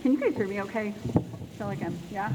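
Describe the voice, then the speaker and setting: A soft-spoken woman with a Southern Indiana accent giving an unhurried, chatty report as executive director at an annual meeting in a banquet hall. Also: mid-range voice, animated. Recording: reverberant hall with faint background noise.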